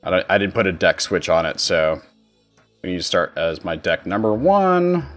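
Male streamer, lowish voice, casual walk-through delivery over light music. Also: lively intonation, moderate pace, a bit bright. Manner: informal step-by-step explaining. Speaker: streamer giving a tutorial. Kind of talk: casual software tutorial. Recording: music behind the voice. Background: background music.

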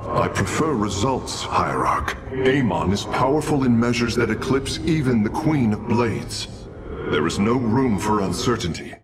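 An actor playing a pragmatic, studious alien engineer in a video game, talking in a precise, analytical, formal manner. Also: male voice, on the low side, lively intonation, a little slow, very noisy. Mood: sober doubt. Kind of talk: dramatic video game cutscene acting. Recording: loud humming ambience behind.

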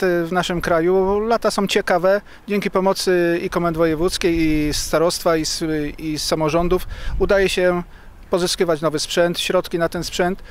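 A man in his fifties with a clear, resonant and slightly high voice. He gives plain-spoken interview answers outdoors into a handheld microphone. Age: 50s. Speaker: fire brigade commander. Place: outdoors, fire station yard.

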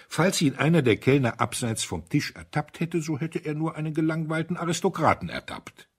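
A man with a husky voice narrating a detective story in an expressive, theatrical way. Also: mid-range voice. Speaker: audiobook narrator.

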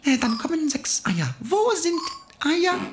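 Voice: high voice, very animated, a little slow, background noise. An actor playing an eager, headstrong little boy king in a children's cartoon, chatting and muttering confidently to himself.

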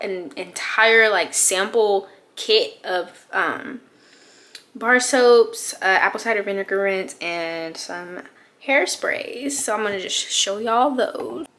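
A woman's voice: medium pitch, expressive, takes it slowly, bright, forceful tone. A young woman in her twenties with a congested, nasal voice from a cold, chatting casually in a rambling way for her hair care vlog, recorded on a phone in a small bathroom. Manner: rambling, lots of fillers, chatty. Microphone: phone or camera on a counter.

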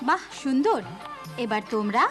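A woman voicing a kind, encouraging schoolteacher in a cartoon, speaking gently and patiently. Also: sits high, lively intonation, moderate pace, over music. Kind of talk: cartoon character voice.

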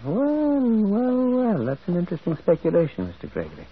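A man's voice: high voice, wildly swooping pitch, normal speed, slightly rough, full character voice. An actor playing a mild, inquisitive priest sleuth in an old radio drama, hesitant and repeating his words. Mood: politely probing. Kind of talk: 1940s radio acting. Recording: muffled, phone-like old radio recording.

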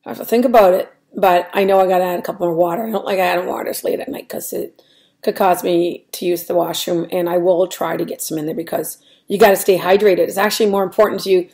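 A middle-aged woman with a raspy voice, talking through her weight-loss diary on a webcam at home, hesitant and full of ums. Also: lowish voice, steady tone, fast talker, a little mellow. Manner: rambling, hesitant, many ums and restarts. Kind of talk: casual diary vlog. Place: small room at home, indoors. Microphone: webcam at desk.

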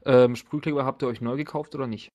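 A man's voice: mid-range voice, fairly even intonation, fast talker, darkish tone. Casual male game master. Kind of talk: casual tabletop role-playing narration and banter.

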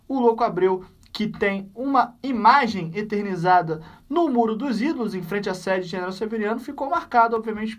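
A man in his twenties hosting a football fan channel, giving voice-over commentary in an emphatic way, stretching key phrases slowly. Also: high voice. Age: 20s. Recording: voice somewhat distant from the mic.

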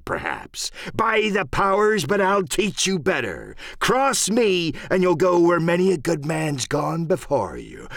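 A man voicing a cunning, menacing old pirate sea cook in a storybook reading, with a blustering, deliberate rustic seafaring dialect. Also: fairly high pitch, creaky, fairly bright tone, no room sound, clean studio sound, voice pushed up, exaggerated voice.

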